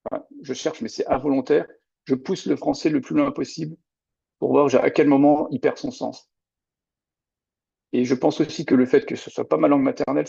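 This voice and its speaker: An older man, a native Lorraine Platt speaker from Moselle, talking about books in a hesitant, animated way with many restarts over a video call. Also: mid-range voice, vocal fry, breathy edge. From Moselle-Est, France. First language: Lorraine Franconian (Platt). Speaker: author. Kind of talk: casual literary discussion. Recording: dull lo-fi video call audio.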